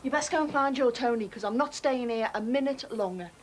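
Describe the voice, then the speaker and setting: A defiant, sharp-tongued woman, as an actress plays her, with a high voice and a biting way of answering back. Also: a little fast, a little hiss or hum, close to an everyday voice. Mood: upset. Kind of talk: 1990s TV soap acting.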